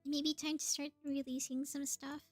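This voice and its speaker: High-voiced woman livestreaming a game. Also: little pitch movement, normal speed, dry recording, soft background music. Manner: chatty, thinking aloud. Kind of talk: casual gameplay commentary, reading game dialogue aloud.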